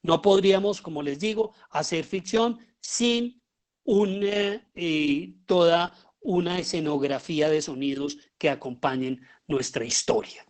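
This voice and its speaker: A man with a Colombian accent giving an explanatory lecture as a teacher, often pausing and posing rhetorical questions. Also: a little high. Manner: halting, with pauses and rhetorical questions. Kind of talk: explanatory lecture on writing audio fiction.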